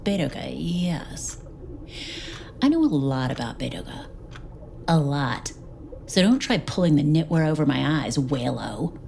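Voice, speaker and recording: An actress playing a brash, suspicious space interrogator who theatrically needles and mangles idioms in a comic audio drama. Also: female voice, sits low, very animated, unhurried, gravelly, long pauses, darkish tone, a little hiss or hum, exaggerated voice. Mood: suspicious, needling. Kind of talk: comic science fiction audio drama acting.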